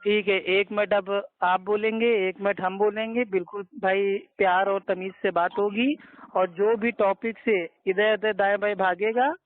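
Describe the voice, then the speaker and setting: A high-voiced man argues his side in a debate. He is insistent and keeps appealing to the moderator. The sound is a thin, tinny conference phone line. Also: fairly even intonation, moderate pace, no room sound. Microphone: telephone.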